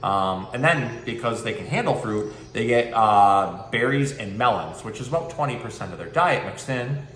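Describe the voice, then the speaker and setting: A man's voice: mid-range voice, lively intonation, moderate pace, fairly bright tone. A man working as a zookeeper presenter, giving casual educational talk and reading a picture book aloud. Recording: roomy, distant mic, faint background noise.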